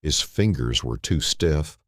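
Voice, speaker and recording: A man narrating a scripted dramatic story in short, clipped phrases with dramatic pauses. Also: extremely low pitch, expressive, a bit bright, husky, no room sound, clean studio sound.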